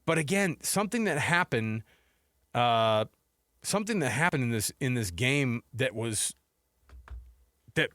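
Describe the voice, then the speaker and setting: A man's voice: mid-range voice, fairly bright tone, no echo, studio quality. A middle-aged American man hosting a sports radio show, talking casually and conversationally about games. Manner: informal, conversational. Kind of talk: casual sports talk with commentary. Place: radio studio. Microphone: close broadcast microphone.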